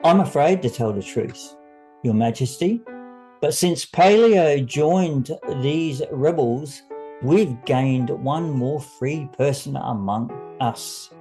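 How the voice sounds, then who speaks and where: Man as servile attendant, fawning, earnest, slow phrasing, audio-story character. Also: mid-range voice, animated, dark tone, soft background music, rough recording. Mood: fearful, deferential. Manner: deferential, earnest, obsequious.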